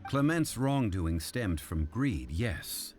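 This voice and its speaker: A man voicing a calm, dignified game character who speaks formally and holds people to contracts. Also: lowish voice, a little hiss or hum. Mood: probing. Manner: formal, measured, old-fashioned speech. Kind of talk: video game voice acting.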